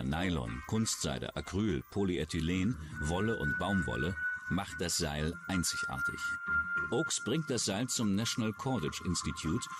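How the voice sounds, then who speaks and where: A man reading scripted true-crime narration as a documentary narrator. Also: low voice. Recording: music under the voice. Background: background music.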